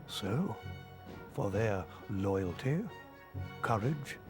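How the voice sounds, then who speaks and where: Slow, measured old wizard headmaster. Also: male voice, lowish voice, expressive. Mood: measured, ceremonious. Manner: measured, formal, ceremonious. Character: wise, kindly old male wizard headmaster. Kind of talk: video game voice acting. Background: music.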